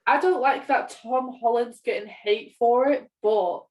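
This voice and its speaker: A woman's voice: fairly high pitch, unhurried, a little mellow. Young woman, distant from the mic. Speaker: podcast co-host. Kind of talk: casual chat. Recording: voice distant from the microphone.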